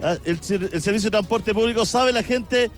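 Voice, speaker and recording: A man's voice: high voice, brisk, faint music behind. A man with a Chilean accent reporting live from a busy city avenue outdoors, talking conversationally and asking passers-by short questions. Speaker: street reporter. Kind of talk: live field news report with street interviews.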